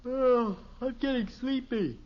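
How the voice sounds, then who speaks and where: An actor playing a lazy, easygoing American teenage son in a 1950s radio sitcom, boyish and guileless with wisecracks. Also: male voice, extremely high pitch, slow. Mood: reluctant, drowsy. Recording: muffled, noisy old radio recording.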